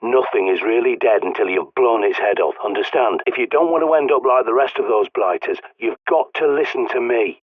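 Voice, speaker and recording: An actor playing a blunt, sweary, paranoid survivalist mentor in a video game, with an English accent, heard through a radio filter. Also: male voice, mid-range voice, fairly quick, few pauses, no echo. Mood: stern, blunt. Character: gruff paranoid doomsday survivalist man, bossy mentor. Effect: radio transmission filter. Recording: muffled narrow-band radio sound.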